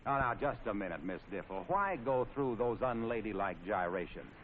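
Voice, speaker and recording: Old-timey american guy doing 40s film narration, wry and super lively, scratchy phone-like sound. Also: lowish voice, normal speed. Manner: wry, mock-folksy, playfully sarcastic. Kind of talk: 1940s wartime training film narration. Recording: scratchy, tinny 1940s film soundtrack with noise.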